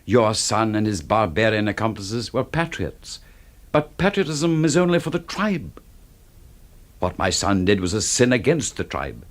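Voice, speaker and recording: An actor playing an arrogant older aesthete villain in a radio drama, pompous, theatrical and grandly scornful. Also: male voice, fairly low pitch, sing-song, measured pace. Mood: grandly oratorical. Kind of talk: suspense radio drama acting.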